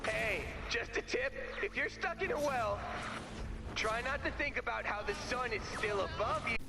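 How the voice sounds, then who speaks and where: A man's voice: very high voice, lively intonation, moderate pace, vocal fry, reverberant, background noise, exaggerated voice. A man playing a scared, chatty, self-deprecating young guy in comedic game dialogue, rambling and apologetic. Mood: sheepish.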